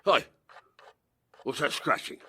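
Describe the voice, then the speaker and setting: An actor playing a shifty, irritable villainous barber in a period audio drama, servile one moment and snappish the next. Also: male voice, slow pace. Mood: snappish, alarmed. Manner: servile to gentlemen, snappish to his apprentice.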